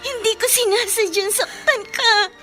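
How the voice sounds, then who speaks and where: Very high-pitched Filipino younger sister. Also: female voice, normal speed, over music. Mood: pleading insistence. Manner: pleading, earnest, emotional. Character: pleading, defensive younger sister, a young student. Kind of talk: Filipino radio drama acting.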